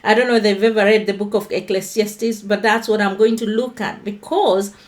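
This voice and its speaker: Kenyan woman, livestream host on her phone, chatty and unhurried, mid-pitched voice. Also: fairly even intonation. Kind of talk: casual livestream talk with Bible teaching.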